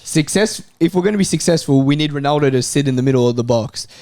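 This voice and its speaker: A man's voice: fairly high pitch, fast talker, a little mellow, no echo. A man talking casually as a panelist in a sports debate show. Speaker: football talk show panelist.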